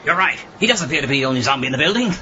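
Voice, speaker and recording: A man playing a vain, melodramatic, excitable mad scientist in a comedic audio drama. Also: mid-range voice, racing, muffled recording, very noisy, close to an everyday voice. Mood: eager scheming. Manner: histrionic, excitable.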